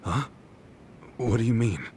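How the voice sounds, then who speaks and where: An actor playing a blunt, good-hearted low-ranking yakuza in an English game dub, informal, halting and expressive. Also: male voice, slight background noise, close to an everyday voice. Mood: confused, shocked.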